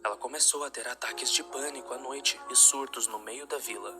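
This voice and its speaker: Man narrating a dark story, music behind. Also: mid-range voice, a little fast.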